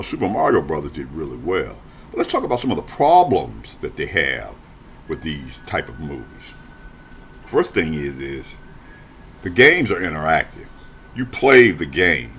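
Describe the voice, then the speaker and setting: A man's voice: extremely low pitch, a bit bright, a little hiss or hum. A man hosting a review show, giving casual commentary straight to the audience and leaning emphatically on his key points.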